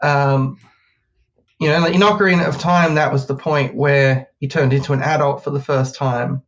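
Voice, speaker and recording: An Australian man chatting casually as a podcast guest, his voice a little hoarse from a cold. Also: mid-range voice, fairly even intonation, normal speed, dull audio. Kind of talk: casual chat.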